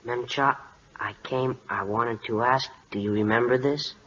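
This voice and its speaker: A male actor playing a shy, earnest adolescent Siamese prince, halting and polite in an old radio drama. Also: medium pitch, fairly even intonation, a bit bright, slight background noise, lightly acted. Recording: muffled vintage radio broadcast.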